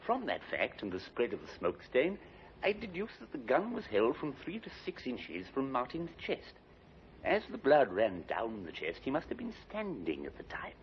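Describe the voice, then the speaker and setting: An actor playing a precise, confident forensic expert in a 1950s radio drama, formal and emphatic. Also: male voice, mid-range voice, wildly swooping pitch, normal speed. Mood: clinical, emphatic. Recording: muffled old radio, faint noise.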